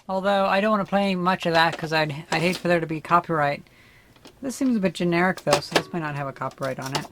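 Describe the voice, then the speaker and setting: A vlogger talks casually through a hands-on demonstration in a mid-pitched voice. The delivery is unscripted and tentative, thinking aloud, with light noise and clicks in the recording. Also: fast talker. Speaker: vlogger demonstrating vintage audio gear.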